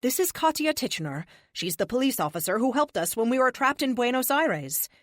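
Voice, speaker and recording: A woman's voice: a little high, sing-song, brisk, no room sound, studio quality, pitched above the performer's own voice. A woman voicing a stern, commanding young superheroine who speaks in firm, short phrases, in an audiobook. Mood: friendly. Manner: firm, clipped orders.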